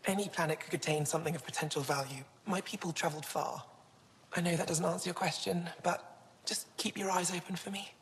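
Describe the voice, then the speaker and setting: Actress as young alien relic curator, game dialogue. Low female voice, earnest and wistful, in a reverberant hall. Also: a little fast, close to an everyday voice.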